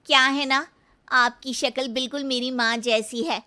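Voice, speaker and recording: A woman voicing a warmhearted young city woman in a cartoon story, gushing and effusive. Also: sits high, steady tone, a little slow, pitched above the performer's own voice.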